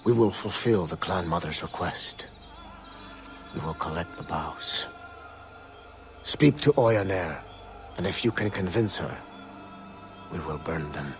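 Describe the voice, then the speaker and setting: Deep-voiced, solemn warrior. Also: male voice, animated, takes it slowly, pauses often, faint music behind. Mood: resolute. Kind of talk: video game cutscene acting.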